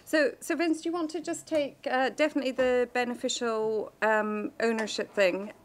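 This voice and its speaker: A woman moderating a panel Q&A in a conference room, briskly steering questioners to their point. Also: fairly high pitch, steady tone. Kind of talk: chairing a Q&A.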